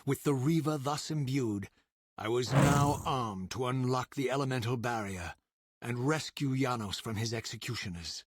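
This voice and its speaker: An actor playing a vengeful undead wraith, speaking in formal, grandiose, theatrical language in a dramatic video game. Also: male voice, mid-range voice, moderate pace, no echo, lightly acted. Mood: grim resolve. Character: Raziel, brooding vengeful undead male wraith, once vampire. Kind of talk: dramatic scripted game narration and dialogue.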